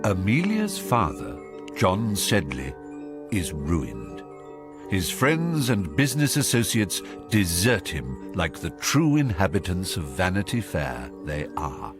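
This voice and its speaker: A wry storyteller of Regency society. His lowish voice takes its time through scripted narration. Clear music plays behind him. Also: huge pitch swings, slow pace, heavily acted.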